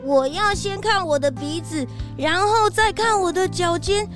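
A woman playing an excitable, childlike young detective's sidekick in a children's audio drama, eager and full of cute exclamations. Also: very high voice, measured pace, soft background music. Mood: amazed.

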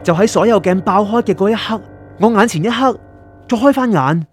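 A man's voice: sits high, animated, fairly quick. An actor with a Hong Kong Cantonese accent playing an anxious, curious male writer who narrates his story introspectively in a radio drama. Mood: tense recounting. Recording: music under the voice.

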